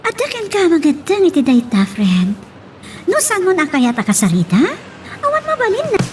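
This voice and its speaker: A woman's voice: extremely high pitch, huge pitch swings, normal speed, a little hiss or hum, exaggerated voice. A woman playing a friendly, inquisitive young woman in an Ilocano drama. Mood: curious, concerned. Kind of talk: Ilocano radio-style drama acting.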